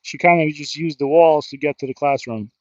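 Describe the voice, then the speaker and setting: A man's voice: on the high side, a little fast, no echo, lo-fi sound. A man with an American accent chatting casually on an outdoor live stream, rambling and joking at his own expense. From New Jersey, United States. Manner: rambling, self-deprecating, joking. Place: outdoors in a river in a park.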